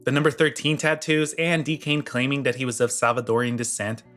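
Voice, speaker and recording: A man's voice: medium pitch, level tone, a little fast, fairly bright tone, soft background music. Sarcastic man narrating commentary. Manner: blunt, sarcastic and opinionated. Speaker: commentary channel host. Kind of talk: scripted commentary voice-over.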